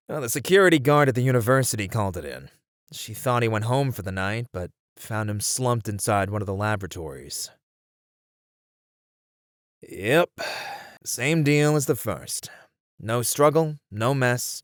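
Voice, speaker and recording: A man's voice: fairly low pitch, dry recording, studio quality. A man acting as a weary detective in an ASMR roleplay, musing conversationally over a murder case in a casual way. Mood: matter-of-fact. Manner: casual, musing, sighing delivery. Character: weary male detective puzzling over a serial killer case.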